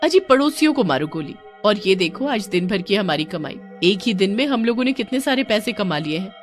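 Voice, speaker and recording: A woman doing a bold, sharp-tongued young wife. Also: medium pitch, very animated, brisk, faint music behind, close to an everyday voice. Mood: lively.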